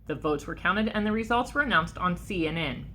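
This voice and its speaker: A woman's voice: fairly low pitch, lively intonation, fairly quick, sharp tone, a little hiss or hum. A woman reading a picture book aloud to children like a teacher, expressively and with questions to the listeners. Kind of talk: animated storybook read-aloud for children.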